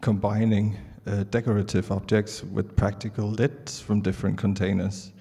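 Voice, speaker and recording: Conference speaker: Danish man, middle-aged, mellow and gravelly, halting delivery into a handheld mic in a reverberant auditorium. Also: on the low side, flat delivery. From Denmark. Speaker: artist presenting at a conference. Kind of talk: prepared stage presentation. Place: large indoor theatre stage. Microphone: handheld microphone.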